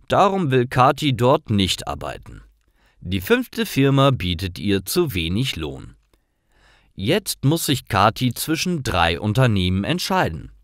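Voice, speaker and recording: A man reading scripted stories for language learners as narrator, in simple, clearly phrased storytelling. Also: lowish voice, a bit bright, no echo, studio quality.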